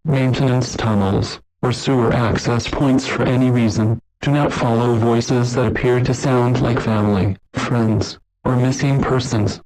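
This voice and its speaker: A male announcer with a mid-range voice reads an emergency alert. His delivery is formal and measured, with an impersonal tone suited to an official scripted broadcast. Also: medium pitch, darkish tone, poor audio.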